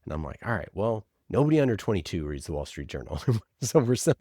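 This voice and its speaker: A man's voice: sits low, very animated, fast, mellow, dry recording, studio quality. A man talking casually as an interview guest and podcast producer, giving emphatic, opinionated advice and acting out imagined exchanges.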